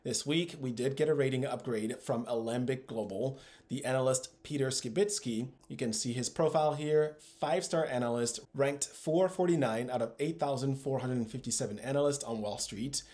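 A man's voice: mid-range voice, normal speed, darkish tone. Upbeat man presenting to camera. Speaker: host of an investing channel. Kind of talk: scripted video commentary to camera. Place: small room at home, indoors.

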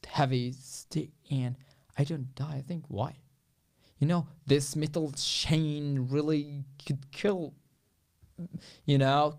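A young man from Syria with an Arabic accent, speaking English as a second language, gives an earnest, halting personal account as a podcast guest in a small studio, often dropping to near-whispers. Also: medium pitch, creaky, darkish tone. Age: young adult. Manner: earnest, halting speech with frequent restarts, drops to near-whispers. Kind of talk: personal testimony in a podcast interview. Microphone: studio boom mic with headphones.

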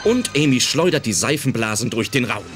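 A man's voice: medium pitch, lively intonation, brisk. A man narrating a children's audio drama in a scripted, dramatic storytelling style. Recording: loud music under the voice.

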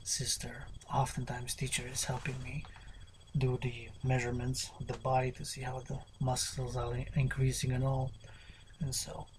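Guy in his 30s rambling about working out, flat monotone, repeats his slogans, webcam in a bedroom, bit of hiss. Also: medium pitch, moderate pace, poor audio. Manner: preachy, repetitive chanting of slogans, drifting into whispers. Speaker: fitness vlogger. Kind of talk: rambling unscripted workout talk. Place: small cluttered bedroom with tapestries. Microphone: webcam at desk distance.

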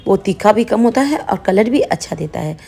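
A woman's voice: medium pitch, animated, normal speed, creaky, warm tone, slightly rough. A woman hosting her cooking channel, patiently explaining each step of a home recipe.

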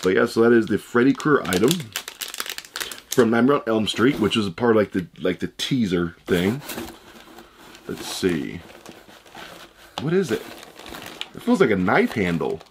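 Hoarse, chatty man unboxing. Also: fairly low pitch, very animated, moderate pace, pauses often, slight background noise. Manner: chatty, rambling, upbeat. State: hoarse, losing his voice. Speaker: unboxing channel host. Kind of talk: casual unboxing vlog. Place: room with shelves of shoe boxes, indoors.

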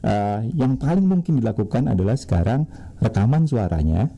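A middle-aged man chatting casually as a guest in a small radio studio, relaxed and laughing often. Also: lowish voice, normal speed, gravelly. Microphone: studio boom mic.

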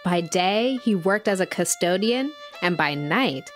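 Woman podcast host, measured storytelling. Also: on the low side, lively intonation, soft background music. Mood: earnest, intrigued. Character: earnest woman music-documentary podcast host. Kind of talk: scripted narration.

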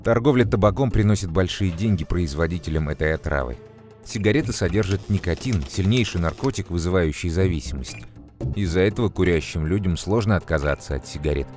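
A deep-voiced adult male mentor from an educational children's cartoon. He explains calmly and precisely in a measured, teacherly way. Music plays behind him. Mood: earnest, explanatory. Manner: measured, didactic, clearly enunciated.

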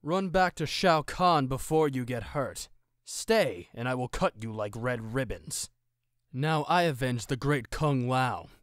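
A man's voice: mid-range voice, animated, unhurried, dry recording, clean studio sound, lightly acted. A man voicing a proud young martial-arts monk from a video game, earnest, formal and confident. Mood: proud resolve. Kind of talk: fan impression of video game fighter.

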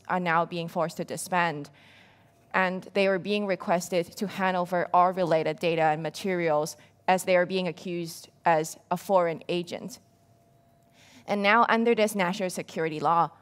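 A young woman from Hong Kong, an activist on a panel, giving a prepared, earnest and measured speech from a dark stage. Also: fairly low pitch. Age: young adult. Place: dark stage, seated at a small table.

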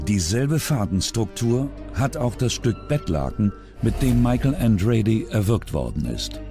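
Expressive male documentary narrator. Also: fairly low pitch, unhurried. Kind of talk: scripted true-crime narration. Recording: soft music underneath.

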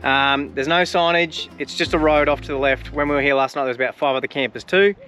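A man's voice: fairly high pitch, fast, bright, forceful tone. A man with an Australian accent, casually narrating a road-trip travel vlog outdoors in a laid-back, rambling way. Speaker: travel vlogger. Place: outdoors by a highway and camp.

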